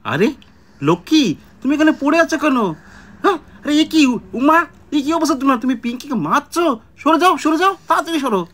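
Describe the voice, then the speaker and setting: A woman's voice: high-pitched, animated, a bit bright, voice pushed down. A woman voicing an alarmed, protective father in a doll-play story, speaking urgently. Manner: hurried, urgent.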